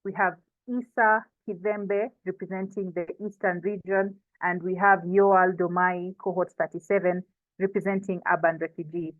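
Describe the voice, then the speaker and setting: A woman's voice: on the low side, steady tone, normal speed, dry recording. A woman with a Kenyan accent, informally hosting and moderating an online session and introducing panelists. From coastal Kenya. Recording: thin phone-like online call audio.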